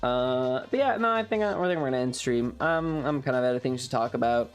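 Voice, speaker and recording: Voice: mid-range voice, a little fast, clear, resonant tone. Rambling young adult streamer over music. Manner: rambling, hesitant, repeats phrases. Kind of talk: casual livestream chat.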